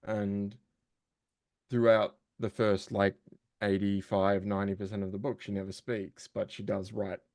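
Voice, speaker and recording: A man's voice: fairly low pitch, little pitch movement, measured pace, a little mellow, no echo, studio quality. A man who narrates audiobooks and does voice work, chatting casually in an interview and thinking aloud with frequent fillers and restarts.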